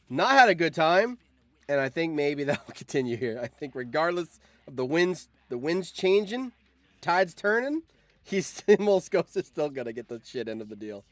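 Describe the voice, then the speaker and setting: A man's voice: on the high side, animated, normal speed, no echo. A man hosting a livestream, chatting casually with viewers and thinking aloud, often repeating his phrases. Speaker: livestream host.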